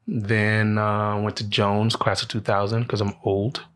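A man's voice: lowish voice, measured pace. A man in his late thirties from the Bahamas, telling funny personal stories as a guest in a casual chat, laughing often. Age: 30s. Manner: animated storyteller, laughs often. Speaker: podcast guest. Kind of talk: casual storytelling interview.

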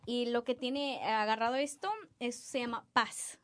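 Young guatemalan woman, soft and hesitant, kinda high smooth voice, dry close sound. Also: steady tone, normal speed, dry recording. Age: young adult. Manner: soft-spoken, hesitant with fillers. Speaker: guest. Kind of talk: casual interview answers.

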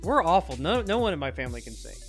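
A man co-hosting a podcast in casual chat, laid-back and quick to laugh. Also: a little high, sing-song, faint music behind. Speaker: podcast co-host.

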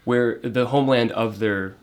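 A man's voice: mid-range voice, normal speed. A man interviewing a researcher, summarizing findings and asking probing follow-up questions.

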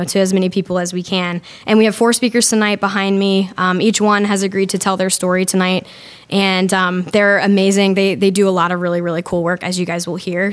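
A young woman introducing speakers at a live event, upbeat and with many fillers. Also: medium pitch. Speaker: event host.

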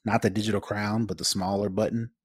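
A man's voice: lowish voice, flat delivery, fast talker, husky, dry recording, clean studio sound. A man co-hosting a tech podcast in casual chat, explaining things informally. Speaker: podcast co-host.

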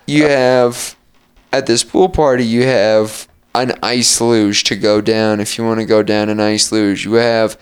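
A man's voice: lowish voice, level tone, measured pace, smooth. A young man hosting a podcast, telling loose rambling stories with frequent laughs and casual swearing. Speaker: podcast host. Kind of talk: casual chat.

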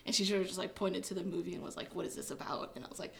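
A young woman speaking as an interview guest in a relaxed, chatty way, full of "like" and quick laughs. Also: on the low side, creaky. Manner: chatty, many fillers, laughs when uncomfortable. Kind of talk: casual interview chat.

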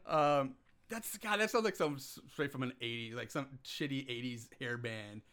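A man's voice: mid-range voice, moderate pace, fairly bright tone. A man co-hosting a podcast, casually recapping a movie scene by scene, rambling, laughing and swearing freely. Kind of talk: casual chat.

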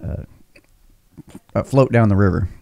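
Gravelly, blunt, laughing man. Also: on the low side, a little slow, warm tone, no echo. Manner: blunt, profane, laughs often. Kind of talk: casual chat.

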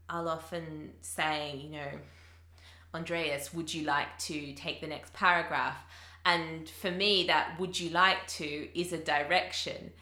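A woman's voice: low voice, bright, forceful tone, reverberant. A woman who teaches English, talking through her thoughts with many fillers and restarts in a casual conversation.

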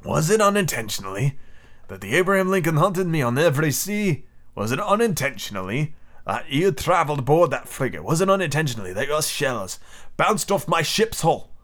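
A man voicing a worldly, aloof submarine commander for an audiobook, formal and deliberate, in an inconsistent foreign accent. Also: medium pitch, animated, a bit bright. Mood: indignant. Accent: shifting, inconsistent foreign accent. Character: worldly, aloof male submarine commander, calm but wronged. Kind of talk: audiobook character voice.

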